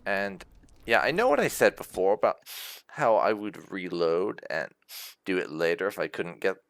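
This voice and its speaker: A man's voice: deep voice, lively intonation, normal speed. A man giving casual let's play commentary as a gaming host, musing aloud as he goes. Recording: game music behind the voice.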